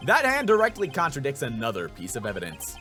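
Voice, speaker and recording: A determined young defense attorney, played by a man presses his point in a bright, lively voice. Each line comes out emphatic and sure. Soft game music runs behind. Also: mid-range voice, fast talker. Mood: assertive. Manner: emphatic, declarative.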